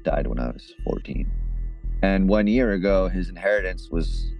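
An actor playing a defensive, awkward man in his thirties obsessed with ghosts, speaking haltingly in a naturalistic audio drama style. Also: low-pitched, lively intonation, vocal fry, darkish tone, soft background music. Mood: reluctant, halting. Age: 30s. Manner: hesitant, halting, self-correcting.

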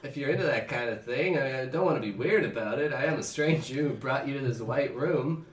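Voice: medium pitch, a little fast, close to an everyday voice. A performer playing an awkward, eager stranger who rambles through an improvised comedy scene. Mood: awkward, hopeful. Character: awkward, eager French stranger seeking a replacement son. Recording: roomy, far from the mic.

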